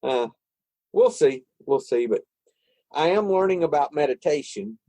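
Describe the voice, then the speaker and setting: A man's voice: on the high side, expressive, measured pace, warm tone, no room sound. Reflective man, dry close sound. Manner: reflective, thinks aloud with frequent restarts. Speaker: podcast co-host. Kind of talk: casual conversation on recovery and spirituality.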